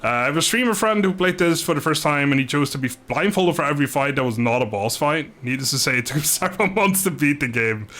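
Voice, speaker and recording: A man's voice: on the high side, animated, quick pace, few pauses, bright, forceful tone. A man casually commentating a video game on a live stream, chatting with viewers in a rambling, good-humoured way.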